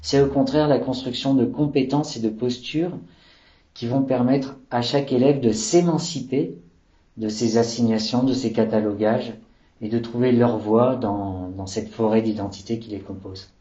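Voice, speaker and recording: A man who works as a school educator, making a formal, measured statement. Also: mid-range voice, fairly even intonation, mellow. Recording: roomy, muffled recording.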